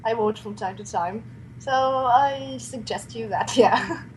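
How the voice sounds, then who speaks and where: A woman's voice: fairly high pitch, slow pace, darkish tone, a little hiss or hum, low-quality recording. A woman from Tunisia, speaking English as a later language on a video call, casual and laughing often. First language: Tunisian Arabic. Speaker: band bassist.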